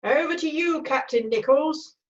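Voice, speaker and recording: A woman playing a confident, brisk male cavalry major in an amateur screenplay read-through. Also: sits high, lo-fi sound, close to an everyday voice. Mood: cocky teasing.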